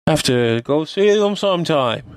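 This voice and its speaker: A man's voice: on the high side, lively intonation, moderate pace, clean tone, no echo, studio quality. A man hosting a radio show in casual chat, agreeable and brief in what he says.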